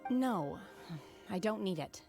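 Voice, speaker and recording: An actress playing an aloof, blunt young woman warrior in a video game, speaking in a measured deadpan. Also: mid-range voice, gravelly. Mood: cold, restrained. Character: aloof, blunt young woman martial disciple, coldly menacing. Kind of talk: understated video game cutscene acting. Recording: background music under the voice.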